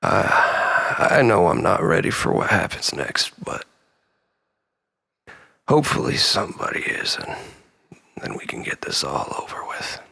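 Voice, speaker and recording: Guy doing a low raspy troubled man, rambling confession to his ex, nervous and halting. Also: big pitch swings, sharp tone, full character voice. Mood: regretful, pleading. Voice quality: gravelly, breathy, often near whisper. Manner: halting, rambling, nervous self-corrections and sighs. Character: troubled, remorseful, obsessive man pleading with lost lover. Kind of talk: dramatic confessional monologue.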